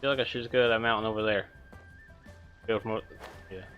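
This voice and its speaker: A man's voice: on the low side, fairly even intonation, fast, clean tone, dull audio, soft background music. A man casually chatting to viewers while streaming a game. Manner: laid-back, chatty.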